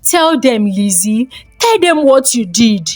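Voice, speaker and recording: A woman's voice: a little high, big pitch swings, slow, darkish tone, no echo, faint music behind, exaggerated voice. A woman storyteller voicing a betrayed young woman, firm and accusing, in a folktale.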